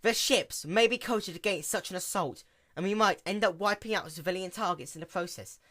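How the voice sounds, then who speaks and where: A man's voice: high voice, brisk, bright, forceful tone, voice pushed up. A man voicing an earnest, dutiful female sea pony attendant who explains things formally.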